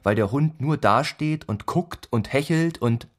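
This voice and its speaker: An actor narrating a grimly comic village tale in a literary radio play, in a rhythmic, repetitive manner. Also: male voice, medium pitch, expressive, unhurried, natural voice. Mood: grim, insistent. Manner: incantatory, repeats phrases rhythmically. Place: studio radio play production.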